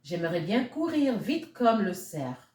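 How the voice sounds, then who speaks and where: A woman voicing a small, wistful picture-book chameleon in a wishful, drawn-out way. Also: mid-range voice, sing-song, darkish tone. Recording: roomy, echoey sound.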